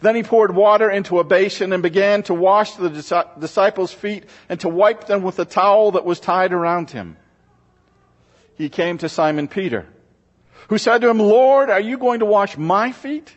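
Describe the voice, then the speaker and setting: Man, pastor, slightly high voice, solemn measured scripture reading, long reverb, muffled sound. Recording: muffled, reverberant large-room recording.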